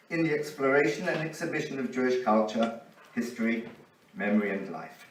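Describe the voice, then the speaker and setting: A man's voice: mid-range voice, slight background noise. A man giving an academic conference talk with dry humor, reading a colleague's paper. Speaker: conference speaker. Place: conference hall. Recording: roomy hall, voice from a distance.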